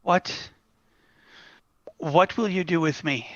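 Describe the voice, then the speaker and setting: A man playing a troubled young shepherd who refuses his destiny, halting and earnest in a dramatic table read, on muffled audio. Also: a little high, fairly bright tone, no room sound. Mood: anxious, questioning.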